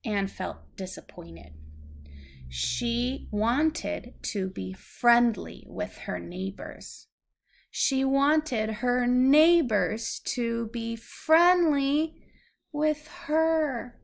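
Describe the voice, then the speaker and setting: Female read-aloud storyteller, higher-pitched with a creaky edge, patient and simple in phrasing for a young child. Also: lively intonation, extremely slow.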